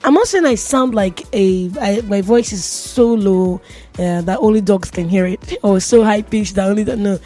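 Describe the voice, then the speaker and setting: A woman's voice: medium pitch, normal speed, warm tone, faint music behind. A woman with a Nigerian accent hosting a radio show, talking in a chatty, playful way and often laughing. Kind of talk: casual radio tech talk. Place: radio studio.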